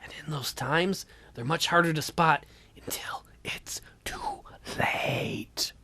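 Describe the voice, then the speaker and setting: A woman voicing an ominous boy in a visual novel, speaking in a hushed, breathy murmur. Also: sits low, wildly swooping pitch, slow pace, whispered, voice pushed down, full character voice. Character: hushed, ominous boy telling of night hunters. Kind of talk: visual novel voice-over put on by a let's-player.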